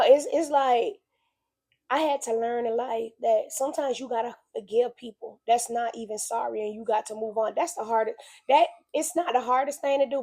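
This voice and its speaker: A woman's voice: on the high side, warm tone. A woman, sharing an earnest, preachy faith message in casual talk. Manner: earnest, preachy, conversational with emphatic repetition. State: slightly raspy voice from illness. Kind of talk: casual spoken reflection.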